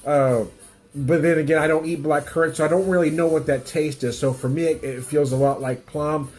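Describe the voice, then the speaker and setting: A man's voice: on the high side, fairly even intonation, brisk, darkish tone. A middle-aged man with a stuffy nose from a cold, rambling casually through a home beer tasting review and chuckling often. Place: home room with music playing.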